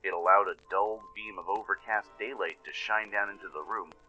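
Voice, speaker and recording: Low male voice, very bright and forceful, dramatic scripted narration, suspenseful delivery. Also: steady tone, brisk, lo-fi sound. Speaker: narrator and producer of a fan audio drama. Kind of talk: dramatic scripted first-person story narration. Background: music.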